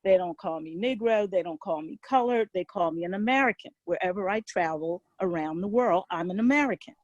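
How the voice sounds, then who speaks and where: A woman's voice: on the low side, a little fast, a little mellow, no room sound, dull audio. A woman on a video call speaking earnestly and emphatically, repeating key points. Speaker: participant.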